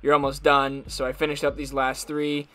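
A man's voice: mid-range voice, completely flat delivery, normal speed. A man in his twenties, a fitness vlogger giving a scripted voice-over about a workout. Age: 20s. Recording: loud music behind the voice. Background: music.

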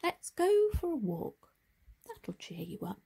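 A woman voicing a gentle, kindly male tortoise in a children's storybook, drawing out words in a soothing way. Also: high voice, wildly swooping pitch, takes it slowly, gravelly, husky, pitched above the performer's own voice, exaggerated voice. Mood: apologetic. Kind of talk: children's picture book read-aloud.

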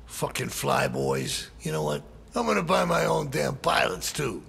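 A man's voice: on the high side, huge pitch swings, normal speed, vocal fry, fairly bright tone, husky, heavily acted. A man playing a middle-aged, hot-tempered, foul-mouthed crime boss in a video game, exasperated and profane. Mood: suspicious, annoyed. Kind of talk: cinematic game dialogue.

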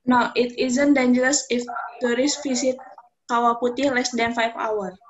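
A woman's voice: fairly high pitch, flat delivery, moderate pace, lo-fi sound. A young Indonesian woman with an Indonesian accent, giving a careful, rehearsed student presentation over a video call from home. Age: young adult. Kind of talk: class project video call. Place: room at home.

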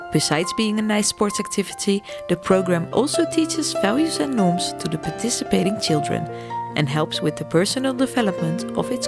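A woman's voice: medium pitch, creaky. A woman reading scripted narration as the narrator of a short documentary piece. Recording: loud music under the voice. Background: loud music.

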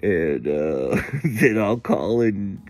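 A man leaving a rambling, excitable voicemail about movies, laughing between phrases. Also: on the low side, very slow. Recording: phone voicemail with faint music behind.

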